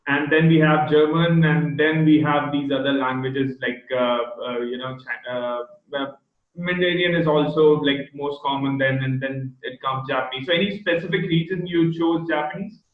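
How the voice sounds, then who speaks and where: A man hosting an online interview with a child guest, talking in a halting way and often stopping to search for words. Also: a little high, fairly even intonation. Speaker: host. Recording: echoey, tinny phone-like video call audio.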